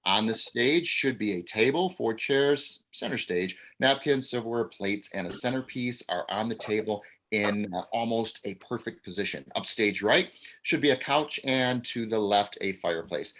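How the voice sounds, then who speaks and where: A man's voice: on the low side, normal speed, few pauses, fairly bright tone. A man reading stage directions aloud over a video call, with frequent uhs. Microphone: video call mic.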